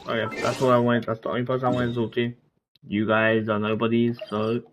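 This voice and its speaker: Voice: medium pitch, flat delivery, a little fast. A video game player giving casual, offhand commentary, mumbling asides and trailing off. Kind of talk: casual gameplay commentary, reading dialogue aloud. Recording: dull lo-fi mic with game music.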